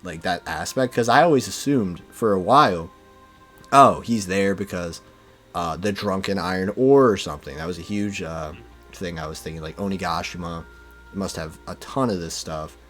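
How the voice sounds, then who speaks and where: A man's voice: low-pitched, moderate pace, a bit bright, faint music behind. A man in a casual, enthusiastic fan discussion, peppering his talk with "like" and "you know". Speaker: podcast speaker discussing manga.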